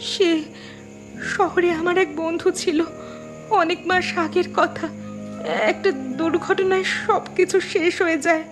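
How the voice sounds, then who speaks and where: A woman's voice: extremely high pitch, flat delivery, measured pace, pauses often. A woman voicing an anxious, dramatic young woman in a horror cartoon, with music behind her. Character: frightened young woman haunted by a ghost, and her sister.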